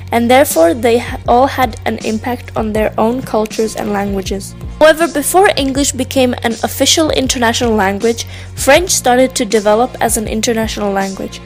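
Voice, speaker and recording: High-voiced woman reading a script. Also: darkish tone. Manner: reads a prepared text evenly, like a presentation. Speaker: student narrator. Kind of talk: scripted narration. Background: hip hop music.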